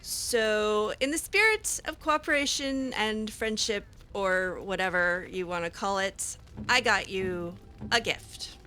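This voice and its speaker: A woman's voice: medium pitch, expressive, slow, bright, forceful tone, slight background noise. A woman hosting a game roleplay, talking back to characters with sarcastic, hesitant remarks and laughs. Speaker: roleplay game player and channel host.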